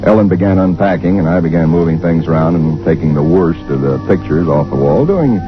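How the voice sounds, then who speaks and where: A man's voice: low-pitched, expressive, brisk. An actor playing an ordinary thirty-something husband who narrates his story earnestly, in 1940s radio acting style, on a dull old recording with music. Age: 30s. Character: ordinary 35-year-old male chemical engineer narrating. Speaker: narrator and lead in radio drama.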